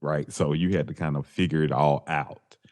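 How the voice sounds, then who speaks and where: A man's voice: very deep voice, fairly even intonation, normal speed, darkish tone. A man in his forties co-hosting a podcast, joking and laid-back, stretching out his phrases. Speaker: podcast co-host. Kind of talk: casual chat.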